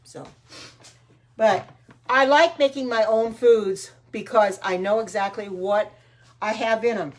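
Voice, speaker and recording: A woman's voice: on the high side, measured pace. Chatty older woman baking live. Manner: chatty, greets and answers viewers by name, meandering; frequent ums and restarts, homespun sayings. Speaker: home cooking livestreamer. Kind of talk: casual live-stream chat while baking.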